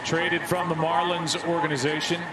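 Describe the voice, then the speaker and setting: Higher-voiced American man calling live baseball. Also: little pitch movement, moderate pace, heavy background noise. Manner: clipped phrases, announcing counts and stats. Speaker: baseball play-by-play announcer. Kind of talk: live sports commentary.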